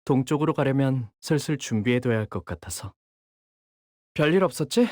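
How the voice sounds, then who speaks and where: An actor voicing a coolly menacing young male gangster underling in a game, measured and sardonic, deferential to his bosses. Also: mid-range voice, animated, darkish tone, no room sound, studio quality, natural voice. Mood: calm, calculating. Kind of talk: Korean game character voice lines.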